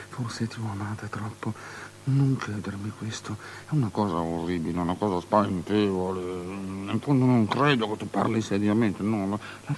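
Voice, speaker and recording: A man's voice: mid-range voice, close to an everyday voice. Guy playing desperate lecherous king, mid voice, rambling and repeating himself, murmurs then bursts, stagey radio drama, bit of hiss and hum. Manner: halting, repetitive, lurching between murmurs and outbursts. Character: lustful, desperate tetrarch of Judea, pleading and erratic. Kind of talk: avant-garde theatrical radio drama acting. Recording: noisy old drama recording with hum.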